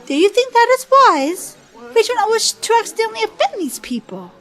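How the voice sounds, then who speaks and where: A woman's voice: very high voice, sing-song, normal speed, a bit bright, heavily acted. An actress playing a cautious, earnest girl travelling companion in an audio drama, formal in her wording. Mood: cautious, disapproving.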